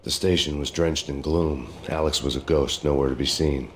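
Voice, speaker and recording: An actor playing a brooding, world-weary hardboiled cop who narrates his story in a deadpan noir style. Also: a bass voice, moderate pace, slight background noise.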